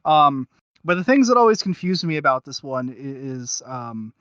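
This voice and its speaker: A man hosting a casual review chat, talking in a halting, rambling and self-deprecating way. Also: mid-range voice, big pitch swings, fairly bright tone, dry recording, muffled recording. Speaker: podcast host.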